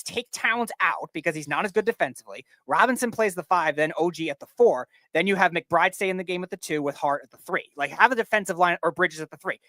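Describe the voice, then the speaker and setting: A man on a sports talk panel giving unscripted basketball analysis, explaining in a halting way and restarting his points. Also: high voice, sharp tone, no room sound.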